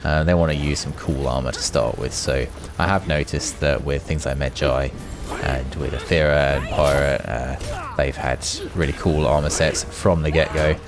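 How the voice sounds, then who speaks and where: A man's voice: a bass voice, fairly even intonation, moderate pace, hardly any pauses, over music. A man hosting a gaming video, talking in a casual, chatty, rambling way as he gives his commentary. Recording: noisy with clear background noise.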